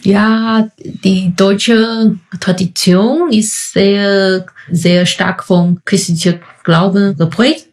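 A woman's voice: medium pitch, steady tone, drawn-out words, darkish tone. A woman from Taiwan, speaking German with a Taiwanese accent as a non-native speaker, answering interview questions hesitantly and searching for words. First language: Chinese. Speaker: podcast guest.

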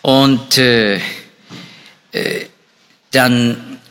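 A man's voice: medium pitch, drawn-out words. A man giving a spoken talk on history, with frequent hesitations and restarts. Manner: lively, animated delivery with hesitations. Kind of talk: lecture on European history. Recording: echoey hall reverb.

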